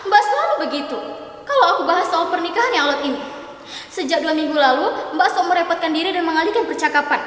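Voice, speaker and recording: A woman's voice: very high voice, lively intonation, fast talker, a bit bright, distant mic. A woman playing a headstrong young bride-to-be. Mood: insistent. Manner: insistent, teasing, emotional. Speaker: dramatic reader. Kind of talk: staged dramatic reading. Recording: echoey hall with long reverb.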